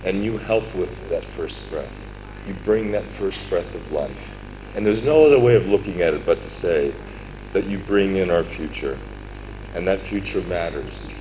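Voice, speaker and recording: Deep-voiced man giving a formal speech. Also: lively intonation, music in the background, rough recording. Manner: earnest, measured oratory with emphatic phrasing. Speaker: foundation representative giving closing remarks. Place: conference event.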